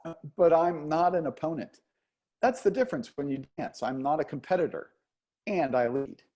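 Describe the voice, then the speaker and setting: A man playing a patient, gently teasing dance instructor of sixty-one in a play reading. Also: a little high, lively intonation, moderate pace, close to an everyday voice. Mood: gently probing. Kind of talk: play reading over video call.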